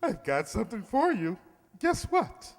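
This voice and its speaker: A man's voice: high-pitched, huge pitch swings, a little slow, heavily acted. A man playing a pompous, sentimental middle-aged uncle in love, with a theatrical, hesitant, blustering delivery in old-time radio comedy style. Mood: eager, wheedling. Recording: echoey hall with long reverb.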